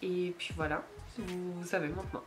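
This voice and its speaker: A woman's voice: fairly low pitch, fairly even intonation, moderate pace. A woman in her late twenties from Ukraine, speaking French with a Ukrainian accent, chatting casually to camera with many fillers and small slips. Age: late 20s. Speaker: YouTuber. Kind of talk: casual Q&A vlog.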